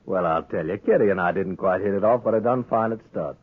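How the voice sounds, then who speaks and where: Sly saloon owner: low husky voice, brash and talkative, Western American accent, vintage radio western. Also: male voice, quick pace, narrow, tinny audio. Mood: smug, easygoing. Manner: cocky, needling, talkative. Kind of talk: 1950s radio western acting. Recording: thin old radio broadcast recording.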